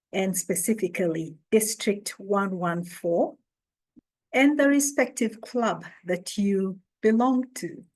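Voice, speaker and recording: A woman hosting an online orientation, speaking slowly and deliberately as she welcomes new members. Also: mid-range voice, a little mellow, rough recording. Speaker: orientation host. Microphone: video call.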